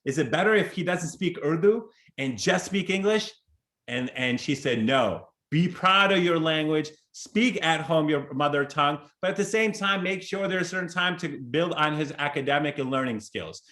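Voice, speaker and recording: A man's voice: fairly high pitch, normal speed, fairly bright tone. A man in his forties from Chicago giving a conference keynote over a video call, talking in an earnest, conversational way with restarts and asides. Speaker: keynote speaker.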